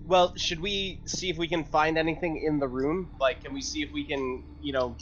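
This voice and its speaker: A man's voice: fairly high pitch, little pitch movement, normal speed, muffled recording, slight background noise. A man chatting casually and informally as a player in a tabletop game. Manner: sweary, informal. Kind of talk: casual chat.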